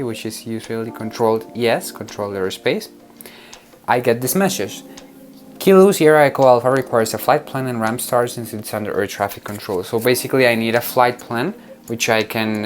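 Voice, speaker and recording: A man with a mid-range voice hosts a casual walkthrough. He explains each step in an informal, instructional way. Music plays behind his narration. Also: mid-range voice. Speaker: tutorial host. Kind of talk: casual screen-recorded app walkthrough. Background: background music.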